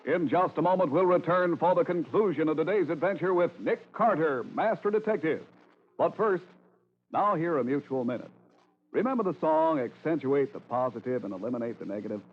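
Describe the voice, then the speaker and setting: A dull, faintly hissy vintage broadcast of an American male announcer. His slightly high voice moves in animated swings as he reads a script in old-time radio style. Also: a little fast. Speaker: announcer and narrator.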